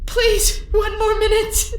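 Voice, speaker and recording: High-voiced, halting, vulnerable grown daughter, played by a woman. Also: completely flat delivery, slight background noise. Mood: anguished confession. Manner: halting, emotional, blunt. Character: grieving grown daughter, vulnerable, guilt-ridden, blunt. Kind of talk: audio play acting. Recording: roomy, distant mic, loud music underneath.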